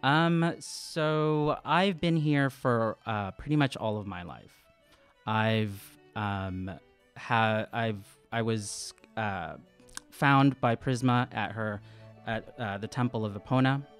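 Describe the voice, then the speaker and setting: A man improvising his character's story as a player in a tabletop game, hesitant and halting as he thinks aloud. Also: lowish voice, clear, resonant tone, faint music behind. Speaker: tabletop role-playing player.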